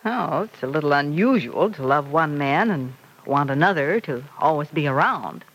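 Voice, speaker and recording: A middle-aged mother in an old radio serial, advising her grown daughter. Her pitch swoops and dips expressively as she gently probes and coaxes with measured patience. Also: expressive, slightly rough, low-quality recording. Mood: gently probing.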